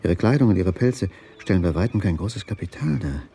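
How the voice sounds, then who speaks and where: A man's voice: low-pitched, lively intonation, a little fast, creaky, warm tone, a little hiss or hum. An actor playing a bewildered, brooding husband in a radio crime drama, questioning and pondering his wife's secret. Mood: brooding, realising. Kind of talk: radio crime drama dialogue and inner monologue.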